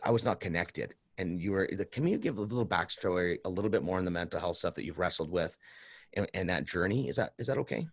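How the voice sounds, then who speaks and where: Man, deepish voice, podcast host, casual, effusive, rambling, dry sound. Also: lively intonation, fast, dry recording. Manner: effusive, rambling with repeated words. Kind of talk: casual enthusiastic interview chat.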